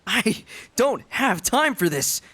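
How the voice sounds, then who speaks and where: A man's voice: high-pitched, big pitch swings, takes it slowly, sharp tone, heavily acted. A man playing an anxious, exasperated teenage schoolboy in a fan-dubbed drama, nervy and hesitant. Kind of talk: animated fandub drama acting.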